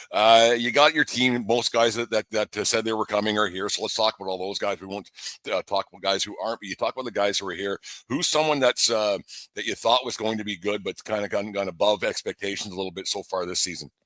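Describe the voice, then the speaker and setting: A man hosting a live sports interview show, speaking in a halting, rambling way. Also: sits low, a bit bright, dry recording.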